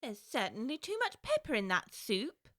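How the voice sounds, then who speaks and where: A well-spoken young girl, earnest, high bright lively voice, dry studio. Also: normal speed, no echo. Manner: earnest, timid yet indignant, well-spoken. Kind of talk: audiobook drama acting.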